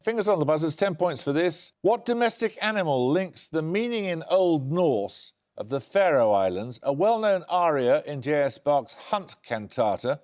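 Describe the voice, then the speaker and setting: An older man runs a studio quiz show with a lively, rising and falling delivery. His voice sits a little high for a man, and he reads out questions in a crisp, brisk way. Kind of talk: scripted quiz hosting. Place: television studio.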